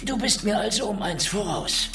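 An actor playing a world-weary, wisecracking private eye in a noir radio drama, sarcastic, cocky and theatrical. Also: male voice, sits high, lively intonation, moderate pace, vocal fry, music in the background. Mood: defiant sarcasm. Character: world-weary, wisecracking hard-boiled male detective Marlow.

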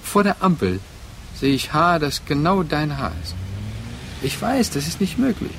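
Brooding man, lively pitch. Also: slight background noise, full character voice. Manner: terse, deliberate, reflective. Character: brooding, wounded man haunted by former lover. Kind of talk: introspective radio drama monologue and dialogue.

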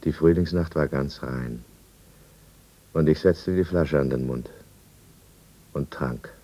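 An actor playing a remorseful drinker narrating his own downfall in a 1950s German radio drama, measured and brooding. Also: a bass voice, dark tone, heavily acted. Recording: dull, muffled 1950s radio recording.

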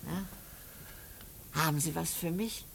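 Elderly mother for radio drama: low, creaky voice, pious and devoted, background hiss. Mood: eager, welcoming. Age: older. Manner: wistful, chatty, halting, tender phrasing. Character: elderly devoted mother, pious, chatty, anxious for her son. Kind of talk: German radio play acting.

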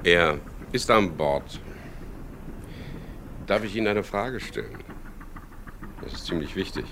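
Gravelly, very deep-voiced guarded skipper. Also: male voice, animated, slow pace, background noise, exaggerated voice. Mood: guarded, serious. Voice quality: gravelly, very low voice. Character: English skipper, guarded, plain-spoken man narrating his story. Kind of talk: radio crime drama, first-person narration and dialogue. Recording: 1970s radio drama production.

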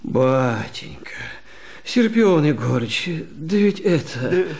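High-voiced, animated male storyteller. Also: slow, gravelly, husky. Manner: expressive, dramatic. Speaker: actor narrating and playing the narrator. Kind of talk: theatrical literary narration.

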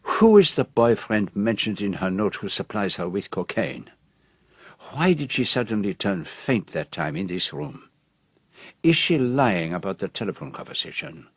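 A fussy Belgian detective in a radio play, with a French-tinged Belgian accent. His husky voice is close and dry, and he speaks precisely, weighing each phrase with theatrical, self-dramatising pauses. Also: on the low side, very animated, dry recording. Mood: methodical, probing.

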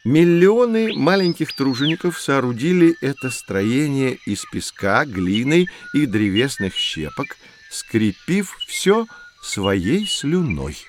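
A man playing a knowledgeable guide who teaches children in a didactic, expressive way in an educational audio drama. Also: medium pitch, slow pace, noisy background. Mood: explanatory.

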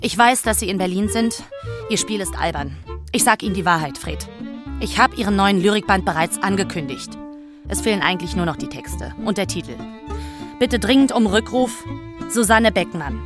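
Radio play: actress as insistent, exasperated woman publisher, medium register, brisk and sarcastic. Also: medium pitch, animated, music in the background, natural voice. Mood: exasperated, sharp. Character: pushy, exasperated Berlin woman publisher badgering her author. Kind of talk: German radio play acting.

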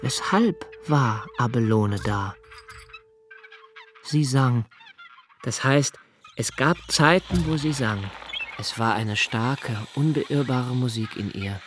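An actor playing a young nobleman who narrates a literary radio play in a slow, hushed, reflective way. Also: medium pitch, expressive, a little hiss or hum. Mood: musing. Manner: slow, hushed and reflective, dropping to near whispers. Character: young nobleman Malte, brooding poetic narrator.

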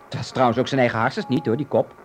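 A man's voice: medium pitch, fairly even intonation, fast, a little hiss or hum, close to an everyday voice. An actor playing a brash, teasing young companion of a sick boy in a Dutch radio drama, blunt and mocking. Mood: gleefully mocking.

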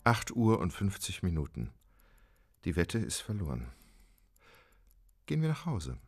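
An actor playing a reserved, dignified English gentleman in a German audio drama, speaking formally and with restraint. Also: sits low, wildly swooping pitch, a little slow, faint music behind, exaggerated voice. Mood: stoic, composed.

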